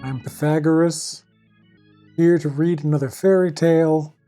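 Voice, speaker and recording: A woman storyteller on an evening fairy-tale show, deadpan and formal, her low voice sitting over faint music. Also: a little slow. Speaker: fairy tale reader and host. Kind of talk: scripted storybook narration with dry asides.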